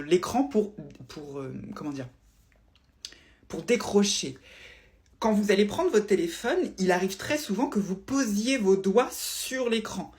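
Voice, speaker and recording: A woman's voice: fairly low pitch, darkish tone. A woman giving a casual explainer to viewers, didactic and emphatic, often repeating and rephrasing her points. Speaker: presenter explaining phone interface design.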